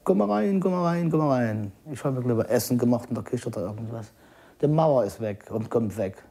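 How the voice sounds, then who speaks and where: Mellow mid-pitched man telling his story. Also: lively intonation, a little fast, gravelly, slight background noise. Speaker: eyewitness. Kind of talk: personal anecdote, conversational.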